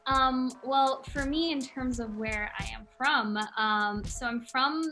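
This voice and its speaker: Bright-voiced woman artist, thoughtful, many ums. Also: sits high, slow pace, soft background music. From Malaysia. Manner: thoughtful, many filler ums, breaks into laughter. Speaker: interviewed artist. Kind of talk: casual interview answers.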